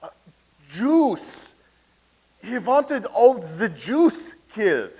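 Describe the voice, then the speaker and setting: A man's voice: sits high, sing-song, very slow, dark tone, slightly rough, heavily acted. A man in a staged reading playing a pompous, blustering loyalist of a fascist dictator who stammers when challenged.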